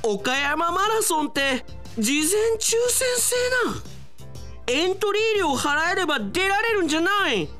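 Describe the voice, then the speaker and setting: A man's voice: very high voice, very animated, measured pace, bright, forceful tone, soft background music, pitched above the performer's own voice, heavily acted. A man voicing a panicky, whiny young slacker in a comic radio drama, with exaggerated plaintive delivery. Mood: dismayed disbelief.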